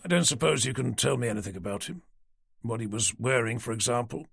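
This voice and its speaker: A man's voice: medium pitch, expressive, fast, vocal fry, a bit bright, husky, no room sound, clean studio sound, full character voice. A man playing a polite, impatient detective.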